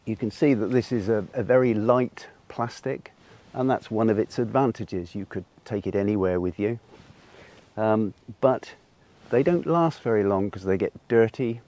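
A man's voice: fairly low pitch, moderate pace, dark tone. An older man with a lapel mic, explaining a demonstration outdoors in a garden in a patient way, with frequent ums. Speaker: solar cooking presenter. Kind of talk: unscripted demonstration.

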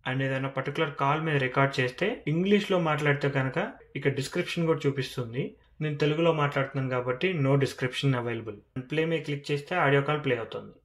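A man with a Telugu accent presenting a tech walkthrough, explaining features step by step and mixing in English terms. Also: on the high side. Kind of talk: phone feature walkthrough narration.

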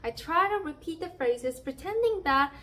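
A woman's voice: sits high, expressive, moderate pace, fairly bright tone, slight background noise. A young Korean woman in her twenties with a Korean accent, cheerfully teaching language tips straight to the camera. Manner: cheerful and playful, with emphatic exclamations. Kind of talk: upbeat direct-to-camera lesson. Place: indoor room by a plain wall.

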